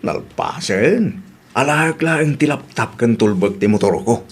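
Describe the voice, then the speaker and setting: A man playing a smooth, reassuring secret boyfriend in a radio drama. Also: mid-range voice, animated, measured pace.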